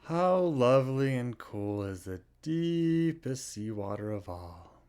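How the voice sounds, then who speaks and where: A man's voice: medium pitch, sing-song, slow pace, darkish tone, clean tone. A man voicing good-natured, emotional brothers from a children's storybook in a theatrical way. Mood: blissful. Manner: theatrical, swooping.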